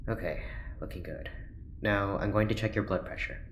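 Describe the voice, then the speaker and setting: An actor playing an earnest, worried scientist doctor in an audio drama, with a careful, clinical manner. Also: male voice, on the low side, moderate pace, natural voice. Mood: clinical, intent. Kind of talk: naturalistic audio drama acting. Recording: roomy, echoing, faint background noise.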